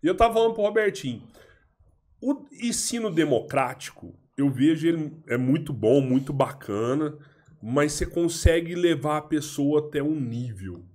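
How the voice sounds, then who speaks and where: A man's voice: a little high, expressive, normal speed, darkish tone. A man hosting a casual podcast, talking informally with slang and frequent restarts. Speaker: podcast host.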